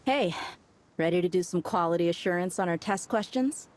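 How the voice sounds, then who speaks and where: Low-voiced, breezy mercenary woman, acted. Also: normal speed, a little mellow, close to an everyday voice. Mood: upbeat, chatty. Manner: casual, chatty, slangy. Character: breezy, casual, friendly adult woman desert mercenary. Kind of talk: video game character acting. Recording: music behind the voice.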